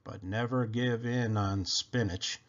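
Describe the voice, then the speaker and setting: A man reading a children's picture book aloud in an expressive, playful way with animated emphasis. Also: on the low side, slow pace. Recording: dull, lo-fi sound.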